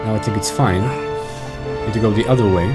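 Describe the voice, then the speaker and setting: A man's voice: on the low side, fast talker. A man chatting casually while playing a video game, rambling and reacting to the action. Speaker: gaming channel host. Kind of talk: let's play commentary. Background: loud game music.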